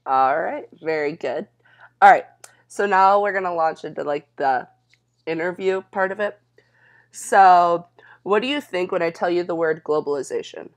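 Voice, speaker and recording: A woman's voice: on the low side, normal speed. A young woman conducting a student interview, talking informally and reassuringly as she puts questions. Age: young adult. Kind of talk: casual interview with scripted questions.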